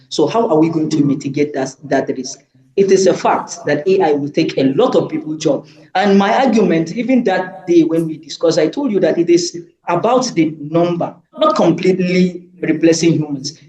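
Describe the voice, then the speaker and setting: Male panelist, slightly high voice, pushy debater, roomy echo. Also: darkish tone. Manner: argumentative, insistent, with repeated restarts. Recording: echoey room.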